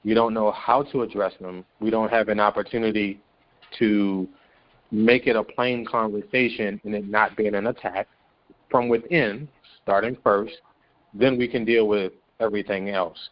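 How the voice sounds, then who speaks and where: A man speaking as a guest in a panel discussion, measured and explanatory. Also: fairly low pitch, fairly even intonation, highs cut off. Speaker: podcast guest from a stage play production.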